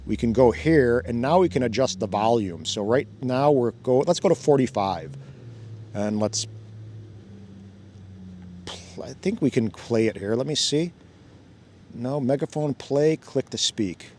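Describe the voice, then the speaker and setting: A man's voice: medium pitch, animated, normal speed, darkish tone, a little hiss or hum. A man hosting a casual hands-on demo outdoors by a fountain, thinking aloud and laughing often as he goes. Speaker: drone channel host. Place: outdoors by a fountain, cold weather.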